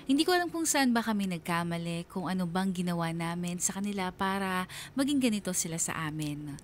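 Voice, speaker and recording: A woman voices a wistful young daughter telling her story as radio drama narration. Her Filipino-accented voice is fairly low, reflective and earnest, over soft music. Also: lightly acted. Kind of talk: scripted first-person radio drama narration. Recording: music bed under the voice.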